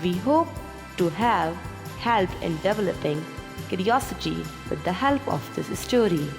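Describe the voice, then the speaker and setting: Slow, animated woman storyteller with long reverb. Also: medium pitch. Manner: deliberate, clearly pronounced delivery. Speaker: children's storyteller and teacher. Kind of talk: scripted story narration for children. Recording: long reverb, music behind. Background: music.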